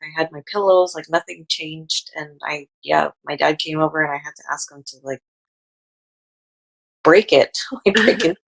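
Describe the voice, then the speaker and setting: A woman with a low, gravelly, somewhat husky voice, chatting loosely as a healing practitioner. She rambles and trails off into asides. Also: moderate pace, low-quality recording. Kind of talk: casual chat.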